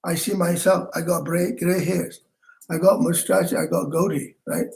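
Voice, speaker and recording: An older man giving a meditation talk, speaking slowly with many pauses, fillers and restarts, over a home video call. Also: high voice, fairly even intonation, vocal fry, a little mellow. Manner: halting, with frequent fillers and restarts. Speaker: meditation teacher. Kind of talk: dharma talk.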